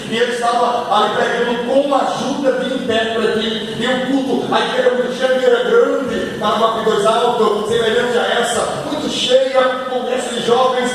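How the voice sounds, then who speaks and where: A man with a Northeastern Brazilian accent preaching a Pentecostal sermon, telling stories and repeating phrases emphatically. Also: extremely high pitch. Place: large church hall, faint ambient noise behind. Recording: echoey church hall, distant mic, faint noise.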